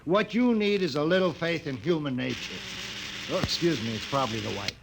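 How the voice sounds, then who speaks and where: An excitable, blustering company boss in middle age. His voice is high and swings widely as he insists and barks in vintage radio drama style. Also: fairly quick, gravelly, background noise. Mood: dismissive, blustering. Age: middle-aged. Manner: emphatic, dismissive barking. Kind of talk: 1950s radio drama acting.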